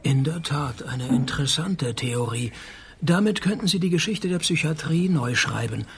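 A fairly high-pitched man as a wry private detective in a radio play. His narration and dialogue are dry, sarcastic and deadpan, with faint music. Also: normal speed, vocal fry. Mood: mocking deadpan. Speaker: narrator and lead character.

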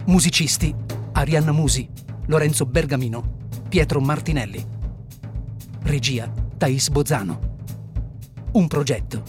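Announcer over clear drum music. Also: medium pitch, unhurried, pauses often. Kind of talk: scripted radio play credits. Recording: music under the voice. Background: music with drums.

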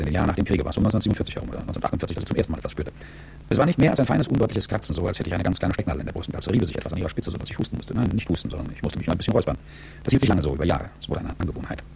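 A low, quick-spoken man in a radio drama, a calculating and world-weary executive looking back on his life. His telling is articulate and reflective, with a gravelly edge. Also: noisy background, heavily acted. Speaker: narrator and lead character. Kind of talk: radio drama first-person narration.

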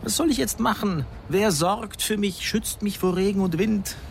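A man's voice: sits high, normal speed, a little hiss or hum. An actor playing a theatrical, self-pitying intellectual man in his thirties in a radio drama, speaking with grandiloquent mock pomposity. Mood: mock indignant.

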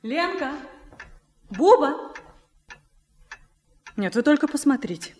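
A woman's voice: high-pitched, sing-song, slow pace, a little mellow, full character voice. An actress playing an exasperated, scolding mother in a Russian radio drama, recorded with echo. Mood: exasperated, calling. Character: exasperated, scolding mother calling through the house.

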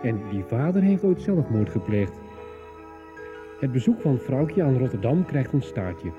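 A man's voice: mid-range voice, very animated, measured pace. A man narrating a scripted recap for a radio serial, in a narrow-band recording over music.

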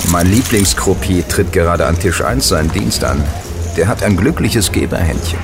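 An actor playing a chatty, superstitious casino gambler who gives knowing warnings in an audio drama. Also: male voice, low voice. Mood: casual, friendly. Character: easygoing, superstitious casino gambler, male, worldly regular. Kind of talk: German audio drama dialogue.

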